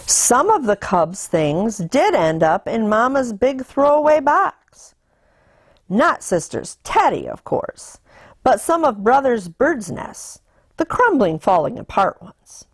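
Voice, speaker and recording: A woman reading a children's picture book aloud in an animated, deliberate storytelling manner. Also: fairly high pitch, breathy edge, no room sound. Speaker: storytime reader.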